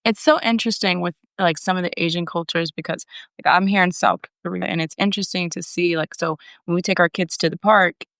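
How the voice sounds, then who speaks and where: A woman's voice: on the low side, fairly even intonation, fairly quick, gravelly, a bit bright, no room sound. A woman hosting a faith podcast, talking in a loose, chatty way with many fillers and restarts. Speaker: podcast host. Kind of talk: casual interview. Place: home abroad, on a remote call.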